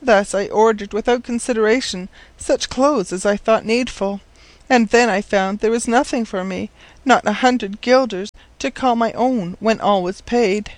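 A man's voice: high voice, unhurried, no echo, pitched above the performer's own voice. A man voicing a forlorn, pleading teenage orphan girl in a storybook, earnest, plaintive and formally polite.

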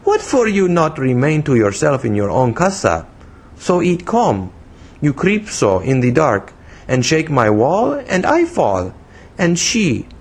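A man's voice: on the high side, wildly swooping pitch, a little slow, voice pushed up, heavily acted. A man voicing a small, saucy young woman with a childish voice, a Spanish accent and broken English, in a story reading. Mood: accusing, pouting. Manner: broken English, pert and pouting.

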